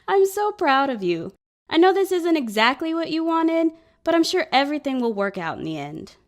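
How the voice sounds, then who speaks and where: Actress for playful young maid of honor, audio drama; higher voice, swinging intonation, sardonic tease. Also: female voice, very animated, normal speed. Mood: playful, supportive. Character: teasing, loyal maid of honor, young woman.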